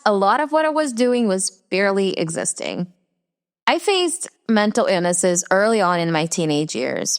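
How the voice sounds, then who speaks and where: Lively-voiced Brazilian woman podcast host. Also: mid-range voice. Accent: Americanized English with Brazilian Portuguese roots. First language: Portuguese. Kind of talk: scripted solo podcast monologue. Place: her room.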